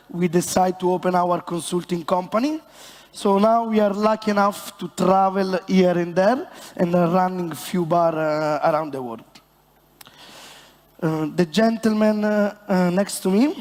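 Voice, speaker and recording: A man with an Italian accent, a bartender presenting on stage in a joking, halting way with many fillers. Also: sits high, darkish tone. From Italy. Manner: halting and playful, many fillers, self-mocking banter. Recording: long hall reverb.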